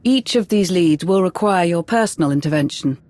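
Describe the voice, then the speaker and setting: An actress playing a cool, calculating imperial intelligence officer in a video game cutscene, speaking in a formal, precise way. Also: female voice, lowish voice, lively intonation, normal speed, darkish tone, close to an everyday voice. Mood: composed briefing.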